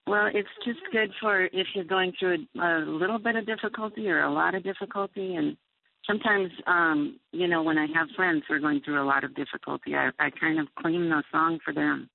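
Gravelly woman caller on a tinny phone line. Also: lowish voice, steady tone, fairly quick, no echo. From Minnesota. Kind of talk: phone call to a radio show.

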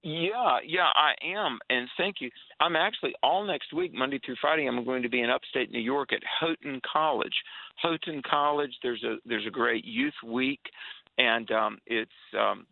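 A man's voice: mid-range voice, normal speed, creaky. A man calling in as a guest on a radio show, eagerly promoting a youth camp. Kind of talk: phone-in radio chat. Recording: phone line.

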